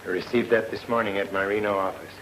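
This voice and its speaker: A man's voice: on the low side, steady tone, fast talker, fairly bright tone, close to an everyday voice. An actor playing a worried, wealthy rancher and father, grave and restrained, in 1940s film noir style. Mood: anxious, grave. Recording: echoey old film soundtrack, faint noise.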